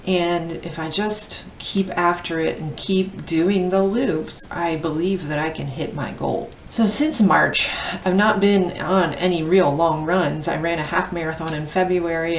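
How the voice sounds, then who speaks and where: A woman's voice: deep voice, fairly even intonation, a little slow. A woman aged fifty talking casually as a vlogger about her own running. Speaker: vlogger and amateur ultra runner. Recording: echoey, distant mic, faint noise.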